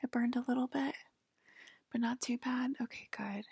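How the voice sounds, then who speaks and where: A woman doing an ASMR roleplay in a breathy whisper and soft talk, reassuring the listener like a clinician and often checking in. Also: on the high side, fairly even intonation, moderate pace, no echo, studio quality. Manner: hesitant, reassuring, clinical patter with frequent checking "okay?".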